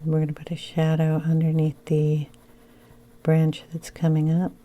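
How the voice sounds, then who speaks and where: A woman narrating a coloring tutorial, soft-spoken and unhurried, often slipping into a whisper as she loses her voice. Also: sits low, monotone. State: losing her voice. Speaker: coloring channel host.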